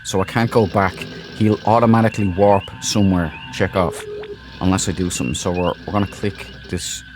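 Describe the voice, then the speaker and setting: A low-voiced man hosts a gaming video with casual commentary. He rambles informally through his explanations, and game music plays clearly behind him. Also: steady tone, brisk. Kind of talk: casual let's play commentary.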